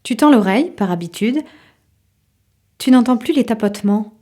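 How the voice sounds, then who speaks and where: A woman's voice: on the high side, animated, moderate pace, warm tone. A woman performing as an intimate storyteller, narrating an audio drama in a literary second-person style. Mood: suspenseful, intimate. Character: intimate storyteller addressing an anxious housewife.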